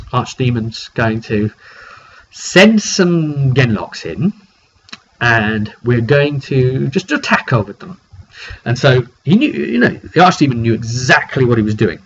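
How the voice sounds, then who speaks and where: A man informally recounting a fantasy roleplay session as game master, dramatic and repeating phrases for emphasis. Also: medium pitch. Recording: dull lo-fi recording, clear background noise.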